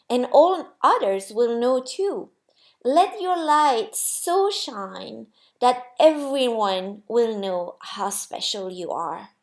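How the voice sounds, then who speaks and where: A woman playing a gentle, wise, amused God in a storybook, explaining patiently and reassuringly. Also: on the high side, full character voice. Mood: solemn, gentle. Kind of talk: storybook character voice.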